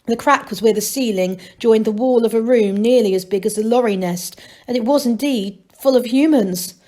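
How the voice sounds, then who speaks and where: A woman's voice: fairly high pitch, moderate pace, mellow. Woman reading a children's story expressively. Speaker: storyteller reading aloud for a school. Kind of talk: expressive children's audiobook narration.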